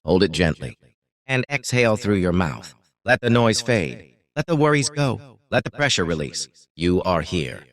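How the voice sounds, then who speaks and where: Man leading meditation with widely moving pitch. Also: big pitch swings. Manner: soothing, deliberate, short phrases with pauses. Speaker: guided meditation narrator.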